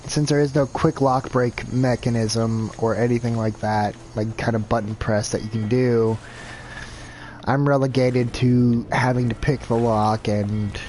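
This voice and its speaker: A man's voice: mid-range voice, a little mellow, slight background noise, poor audio. A man streaming a video game live, chatting casually and thinking aloud in a rambling, self-deprecating way. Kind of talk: casual gameplay commentary.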